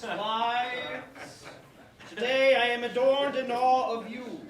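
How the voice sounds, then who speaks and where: Man acting a slow, grandiose conquering ruler. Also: very high voice, fairly bright tone, heavily acted. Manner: grandiose, measured, declamatory. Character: smooth-talking conquering male ruler addressing a crowd. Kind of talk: staged verse play oration. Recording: distant echoey room, background noise.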